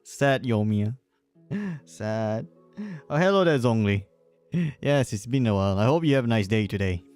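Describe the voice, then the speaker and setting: A man's voice: mid-range voice, expressive, measured pace, pauses often, darkish tone, faint music behind. A man doing casual livestream commentary over a game, rambling and repeating himself, often chuckling between phrases. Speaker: live streamer.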